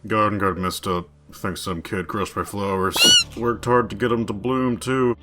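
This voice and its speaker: A man voicing a big, muscly, gloomy eagle who talks in clipped, deadpan phrases in a cartoon parody. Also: on the low side, normal speed, over loud music, natural voice. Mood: glum, deadpan.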